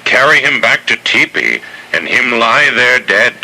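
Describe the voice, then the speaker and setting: An actor playing a grown male comic sidekick who speaks in slow broken pidgin, deadpan, in a 1970s radio comedy serial. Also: medium pitch, slightly rough, full character voice, poor audio. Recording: old radio broadcast taped off air with music.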